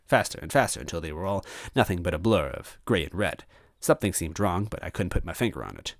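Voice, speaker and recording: Male narrator for fiction: lowish voice, animated intonation, close and dry sound. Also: dry recording. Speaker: story reader, narrator. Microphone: close microphone, dry.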